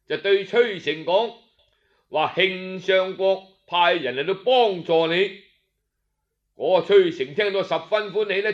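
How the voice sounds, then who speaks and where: A male Cantonese storyteller with a fairly high voice narrates at a slow pace. His delivery is colloquial and expressive, with drawn-out sentence endings. The audio is slightly dull.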